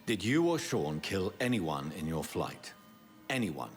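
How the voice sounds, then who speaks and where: A man's voice: low voice, big pitch swings, a little slow, faint music behind. An actor playing a grave, courteous young vampire doctor in a video game, formal and intent as he questions. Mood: probing, urgent. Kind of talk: video game dramatic acting.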